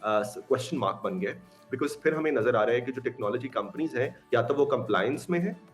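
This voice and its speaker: A man's voice: medium pitch, lively intonation, fairly quick, soft background music. Pakistani man giving earnest expert commentary. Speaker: expert guest. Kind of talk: interview commentary mixing Urdu and English. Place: remote video call.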